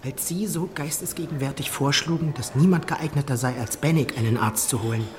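An actor playing an earnest, restrained Regency naval captain in a radio drama, speaking in a measured, formal manner. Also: male voice, on the high side, background noise, natural voice. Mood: grave, restrained. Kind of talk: German radio drama dialogue.

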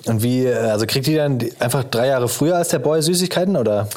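A man co-hosting a podcast in casual chat, telling stories in an animated, slangy way and asking teasing questions. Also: medium pitch, fast talker. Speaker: podcast co-host.

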